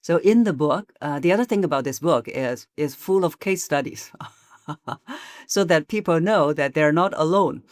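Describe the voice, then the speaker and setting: Measured woman voice coach, dry close mic. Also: low-pitched, no room sound. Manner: measured, explanatory, frequent hesitations. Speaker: voice coach and author, guest. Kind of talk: podcast interview.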